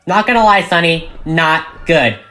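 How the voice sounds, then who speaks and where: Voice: mid-range voice, expressive, unhurried, sharp tone, voice pushed up. A narrator voicing a plucky, wry young female cartoon flying pony giving urgent reports. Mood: wry alarm.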